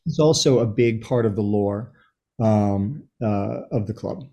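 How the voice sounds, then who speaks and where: A man in his fifties with an American accent, answering interview questions in a thoughtful, halting, storytelling way. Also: on the low side, warm tone. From Los Angeles, USA, born in Chile. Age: 50s. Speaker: interview guest, soccer writer and supporter. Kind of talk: reflective conversational interview answers.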